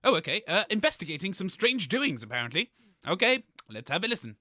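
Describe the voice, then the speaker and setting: A man's voice: high voice, sing-song, fast talker, noisy background. An actor, a man, playing a grumpy, snobbish, pompous co-host on a comedy radio show. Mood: dismissive. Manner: pompous, scornful. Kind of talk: scripted comedy radio banter.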